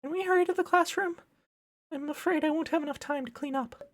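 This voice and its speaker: A woman's voice: high-pitched, fairly quick, natural voice. A woman playing a timid, naive schoolgirl with a polite, hesitant, nervous manner. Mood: apologetic.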